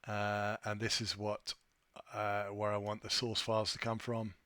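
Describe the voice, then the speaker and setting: A man presenting an informal software tutorial, thinking aloud in a somewhat hesitant way as he demonstrates. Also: on the low side, completely flat delivery, measured pace, clean tone, dry recording. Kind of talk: informal screen-share walkthrough.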